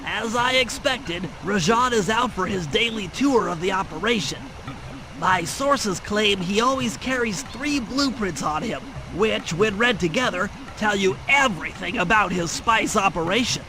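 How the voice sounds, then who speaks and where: A man's voice: high voice, lively intonation, unhurried, crowd noise, rough recording. A man voicing a brainy, fussy turtle who plans missions in a video game, speaking in precise, wordy explanations. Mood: confident briefing. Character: brainy, fussy nerdy planner turtle, proud strategist. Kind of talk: video game cutscene acting.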